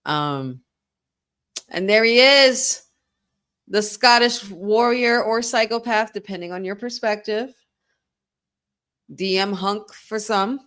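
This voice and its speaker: A woman co-hosting a wrestling watch-along, talking in an excitable, chatty fan style with lots of "like". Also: medium pitch. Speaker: podcast co-host. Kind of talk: casual watch-along commentary.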